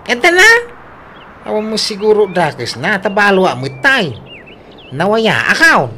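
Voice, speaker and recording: Fretful grieving woman for Ilocano radio drama, Ilocano accent, sharp and animated, exclamatory. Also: mid-range voice, unhurried. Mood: concerned. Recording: faint background noise.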